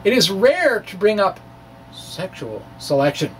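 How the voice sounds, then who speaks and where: Slow, halting man reading science aloud. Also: sits high, big pitch swings. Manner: halting, self-deprecating, wry. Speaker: science video blogger. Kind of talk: dramatic reading of a science blog with informal asides. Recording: distant mic, faint background noise.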